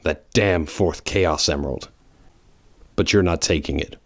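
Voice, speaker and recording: A man playing a gruff, reluctant, brooding ultimate life form in a fan audio drama, terse and deadpan. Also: low voice, unhurried, dry recording, studio quality. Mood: grudging, curt.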